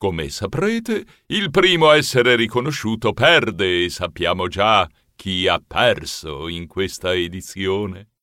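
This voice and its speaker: Un uomo che interpreta in un audiolibro personaggi cerimoniosi e subdolamente compiaciuti, con tono teatrale e finto solenne. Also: male voice, low-pitched, expressive, takes it slowly, few pauses, bright, forceful tone, dry recording, clean studio sound, exaggerated voice. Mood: grandly announcing. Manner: theatrical, mock-solemn, relishing. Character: ceremonious, slyly gloating male characters of spooky comic tale. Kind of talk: audiobook character acting.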